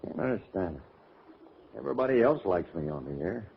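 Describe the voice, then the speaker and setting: A man's voice: low-pitched, very animated, brisk, creaky, full character voice. A man playing a brash, vain comedian husband who wisecracks indignantly in old radio comedy. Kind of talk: 1940s radio comedy acting. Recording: muffled, noisy old radio broadcast with audience.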